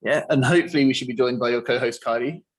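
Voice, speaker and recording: A man hosting an online interview, explaining a tournament in a relaxed, filler-heavy way. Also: mid-range voice, fast. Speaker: host.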